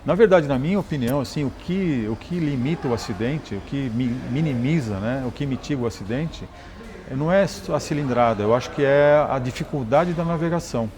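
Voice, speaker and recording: A Brazilian man, a motorcycle engineer and rally champion, telling stories in a relaxed interview, often restarting words and adding "né?". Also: mid-range voice, a little fast, a little hiss or hum. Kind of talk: conversational interview storytelling.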